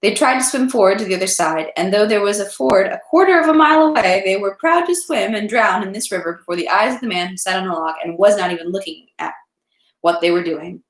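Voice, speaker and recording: A woman's voice: mid-range voice, animated, quick pace, reverberant, low-quality recording. A woman reading a classic novel aloud in an unpolished amateur way, with occasional stumbles. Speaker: reader of a read-aloud series. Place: indoors at home, echoey room.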